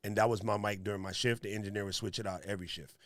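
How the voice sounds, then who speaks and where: Deep-voiced man, dry close sound. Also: fairly even intonation, racing, a bit bright, dry recording. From Hawaii resident. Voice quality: deep radio voice. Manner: emphatic, punchy, laughs at his own points. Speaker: streaming show co-host. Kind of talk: casual tech discussion.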